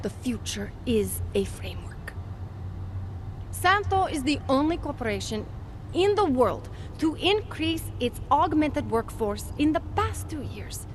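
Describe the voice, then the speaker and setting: Game role: female company rep, earnest and persuasive, high register, lively swooping intonation, true-believer polish. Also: measured pace, a little hiss or hum. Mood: persuasive, insistent. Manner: fervent, polished sales pitch delivery. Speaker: company representative. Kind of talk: video game dialogue acting.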